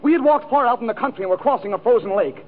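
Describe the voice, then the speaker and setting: A man's voice: very high voice, animated, racing, narrow, tinny audio, pitched above the performer's own voice. A man playing a melodramatic, gushing lover, sighing and repeating himself in a 1940s radio comedy monologue. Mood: wistful, theatrical. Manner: overwrought, sighing, repeating phrases for effect. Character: melodramatic, gushing male lover reciting lost romance. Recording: thin vintage radio broadcast with music, audience.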